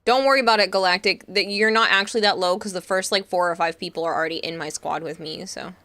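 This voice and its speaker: A woman's voice: fairly low pitch, fast, pushed and bright. A young woman from Massachusetts with a Boston accent, chatting casually to viewers on a live stream. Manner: chatty, reassuring, reading chat aloud.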